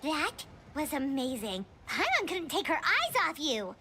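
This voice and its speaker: An actress playing a tiny, childlike fairy companion in a video game, excitable and curious with a squeaky voice. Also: female voice, measured pace, full character voice. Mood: amazed. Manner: squeaky, third-person childish speech.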